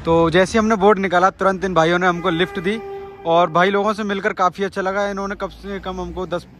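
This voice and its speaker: Excited young man vlogging by phone. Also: high-pitched, brisk, faint music behind. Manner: excited, chatty, addresses viewers as guys. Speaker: travel vlogger. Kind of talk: casual travel vlog to camera. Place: outdoors on roads and inside moving cars. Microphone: phone held at arm's length. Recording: outdoor street noise. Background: traffic and wind noise.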